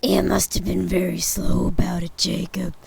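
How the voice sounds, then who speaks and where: A woman voicing a frightened old miser man, speaking low in a hushed, half-whispered, pleading way in a storybook reading. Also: level tone, unhurried, gravelly, breathy edge, full character voice.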